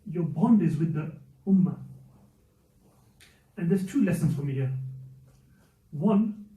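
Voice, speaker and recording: A man's voice: fairly high pitch, expressive, takes it slowly, dark tone, far from the mic. A man giving a religious lesson to a youth and parents circle, talking earnestly and repeating his main points. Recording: muffled, echoey room, speaker away from mic.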